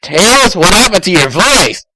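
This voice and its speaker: A man voicing a brash, excitable young male cartoon hedgehog in an amateur fan fiction reading. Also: high-pitched, a little slow, noisy background, pitched above the performer's own voice. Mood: puzzled.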